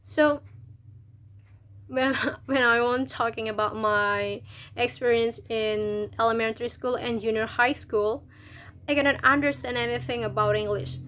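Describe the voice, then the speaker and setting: A woman's voice: a little high, fairly even intonation, measured pace, fairly bright tone. A young woman from Indonesia with an Indonesian accent, a university student chatting to camera, hesitant and restarting as she searches for words. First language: local Indonesian language. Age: young adult. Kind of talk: casual video talk to camera.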